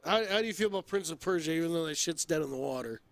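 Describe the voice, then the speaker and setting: A man's voice: fairly high pitch, expressive, racing, dry recording. A man co-hosting a podcast, giving blunt opinions in casual, slangy talk full of "like". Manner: slangy, blunt, heavy use of "like". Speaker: podcast co-host. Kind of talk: casual opinionated film chat.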